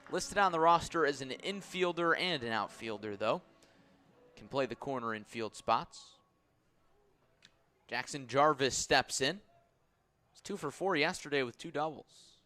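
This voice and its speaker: A man's voice: medium pitch, pushed and bright. A man doing live baseball play-by-play commentary for a radio broadcast.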